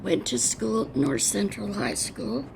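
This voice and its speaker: An older American woman from Washington State recounting her life in a halting, plain-spoken way, as an oral history interviewee. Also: mid-range voice, animated. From Washington State, United States. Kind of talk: unscripted personal reminiscence. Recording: clear vehicle and ambience noise behind. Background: added steam train sound effects.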